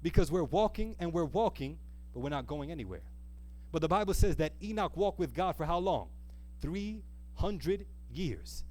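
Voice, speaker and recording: A man preaching an earnest, exhorting sermon, pressing rhetorical questions and repeating key phrases with deliberate, slowed emphasis. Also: fairly high pitch, animated, dark tone. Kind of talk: sermon with a closing appeal.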